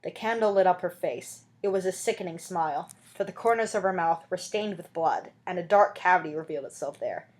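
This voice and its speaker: A woman narrating a story as an amateur audiobook reader, in an expressive literary style. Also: fairly low pitch, brisk, hardly any pauses.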